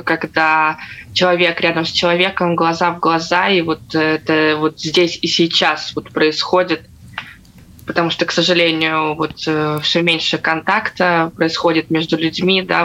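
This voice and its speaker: A woman's voice: fairly low pitch, a bit bright, low-quality recording. A woman guest giving a reflective, conversational answer in a radio interview. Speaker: talk show guest. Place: radio studio.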